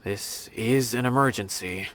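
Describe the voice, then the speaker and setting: A man's voice: lowish voice, unhurried, a bit bright. A man playing an earnest, loyal, anxious law enforcer in a fantasy video game, with an emphatic, dramatic delivery. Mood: hesitant, worried. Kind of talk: scripted video game voice acting. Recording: game music under the voice.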